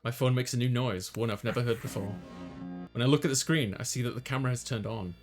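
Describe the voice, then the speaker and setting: A man hosts a gaming video, reading visual novel narration and dialogue aloud. His pitch sits at a middling level, and he slips in casual asides. Music plays behind him. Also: fast.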